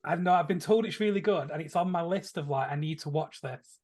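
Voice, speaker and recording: Higher-pitched man in casual film chat. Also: brisk, darkish tone. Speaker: podcast panellist.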